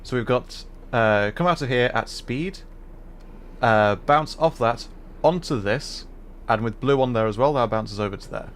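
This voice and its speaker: A man's voice: mid-range voice, a little slow, a bit bright. A man livestreaming a game, explaining puzzles casually and hesitantly, piece by piece as he thinks aloud. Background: faint noise and game ambience.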